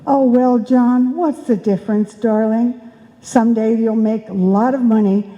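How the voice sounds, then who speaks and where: Casting: female actor as sentimental, self-sacrificing wife for old-time radio comedy, soft dark tone, muffled live hall recording. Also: fairly high pitch, steady tone, a little slow, close to an everyday voice. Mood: reassuring. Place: indoor hall stage, live performance. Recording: dull sound in a reverberant hall.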